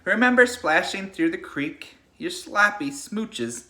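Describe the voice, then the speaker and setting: A man speaking as a teacher to a young class, sharing a picture book in an animated storybook read-aloud style, with casual chat. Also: high-pitched, slow.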